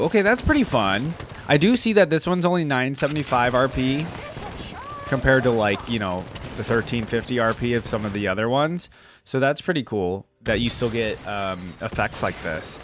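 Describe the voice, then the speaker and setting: A man's voice: mid-range voice, a bit bright, slight background noise. A man giving casual, off-the-cuff reaction commentary on video game skins as a video host.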